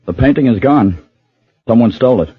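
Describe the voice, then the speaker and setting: An actor playing a somber, shaken widower in a radio drama, speaking tersely and gravely. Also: male voice, medium pitch, lively intonation, a little fast. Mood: grave, numb. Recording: thin phone-like old radio audio.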